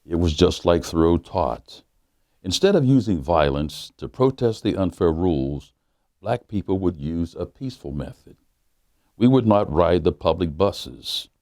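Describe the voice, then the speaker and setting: A man, a pastor, reading a children's book aloud in a measured storytelling manner that slows down on key lines. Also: low voice, animated, darkish tone, no room sound, studio quality.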